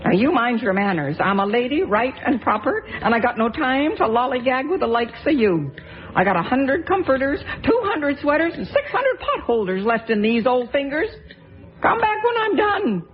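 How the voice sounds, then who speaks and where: A woman's voice: high voice, very animated, moderate pace, slight background noise. An actress playing a stubborn, fussy old lady who scolds in folksy old-fashioned talk, in a radio drama. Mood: defiant. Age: older. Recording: dull lo-fi radio recording with faint music.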